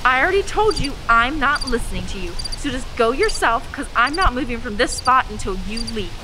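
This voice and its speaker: A woman's voice: on the high side, sing-song, a little fast, noisy background. A woman playing a stubborn, petulant young girl, a little sister, in a dramatic audio drama style. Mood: annoyed, taunting. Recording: faint outdoor night ambience, crickets.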